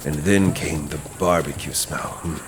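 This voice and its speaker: Deep-voiced man narrating a horror story. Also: background noise. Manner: dramatic, measured storytelling with pauses. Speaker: host and narrator.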